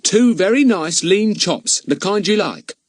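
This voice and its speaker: A man's voice: high-pitched, expressive, measured pace, no room sound, studio quality, pitched above the performer's own voice. A man doing a chatty older housekeeper woman. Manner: gossipy, deferential, many a "sir". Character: chatty older female housekeeper, talkative and easily offended. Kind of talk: audiobook character voice.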